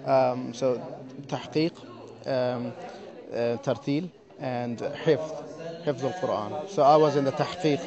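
A man who is a competition entrant, answering interview questions earnestly and hesitantly, with many pauses and repeated words. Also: medium pitch, lo-fi sound. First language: not Arabic. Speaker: competition participant. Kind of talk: vox-pop interview answers. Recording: chattering crowd behind.

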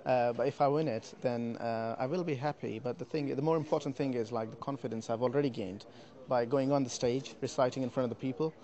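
A man who is a competition entrant, answering interview questions earnestly and hesitantly, with many pauses and repeated words. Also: mid-range voice, fairly even intonation, mellow, highs cut off. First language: not Arabic. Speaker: competition participant. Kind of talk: vox-pop interview answers. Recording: chattering crowd behind.

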